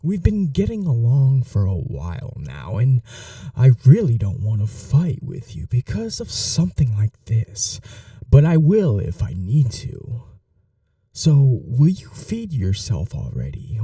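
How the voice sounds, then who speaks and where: A man voicing a gravelly, obsessive vampire captor. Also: medium pitch, mellow, dry recording, far from the mic, full character voice. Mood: doting. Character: possessive obsessive male vampire captor, controlling and doting. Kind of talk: ASMR roleplay audio. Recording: voice a little off-mic, dry.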